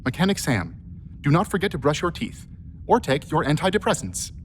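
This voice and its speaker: A man's voice: on the high side, sing-song, brisk, a little hiss or hum. A man playing an earnest, affectionate humanoid helper robot in a radio play, speaking in a precise, literal way. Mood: tender.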